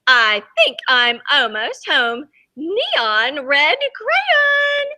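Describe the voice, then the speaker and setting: Woman as a high, cheery storybook crayon. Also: wildly swooping pitch, drawn-out words, sharp tone, full character voice. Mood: cheery, confident. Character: cheerful, clueless, boastful neon red crayon traveller.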